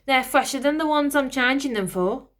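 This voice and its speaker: A woman's voice: high-pitched, normal speed, a bit bright, voice pushed up. A woman voicing a nervous old nanny with clacking false teeth who hisses and shushes. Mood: flustered. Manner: flustered, shushing, hissing.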